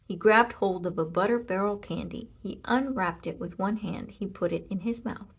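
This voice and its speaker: A woman narrating a children's storybook in an expressive read-aloud style. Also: medium pitch, moderate pace, vocal fry, slightly rough, rough recording. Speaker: storybook reader.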